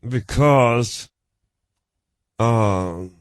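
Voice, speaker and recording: A man in an unscripted conversation, halting and repeating himself emphatically, mixing florid formal phrases with slang. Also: medium pitch, clear, resonant tone, dry recording, clean studio sound. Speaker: guest being counselled.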